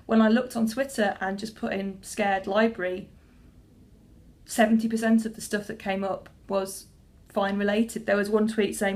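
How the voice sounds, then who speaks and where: A woman's voice: medium pitch, gravelly. A young woman, a librarian talking casually to camera in a chatty, self-deprecating way with frequent restarts.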